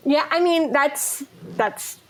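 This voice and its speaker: Woman, fairly high voice, playful casual chat, voice actress, faint background noise. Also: very slow, low-quality recording.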